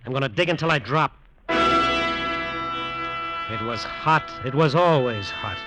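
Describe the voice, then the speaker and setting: A man's voice: mid-range voice, expressive, a little slow, natural voice. A man acting in an old radio suspense drama as a desperate, greedy resort builder who narrates his own downfall in an intense, hard-boiled way. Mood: bitter, defiant. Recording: old radio recording with music.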